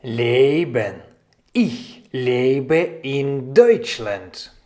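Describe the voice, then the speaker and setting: Male language tutor for scripted beginner lessons: extremely unhurried, instructional, with animated intonation and deliberate repetition. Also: mid-range voice. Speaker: language teacher. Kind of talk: scripted language lesson with repeated example phrases.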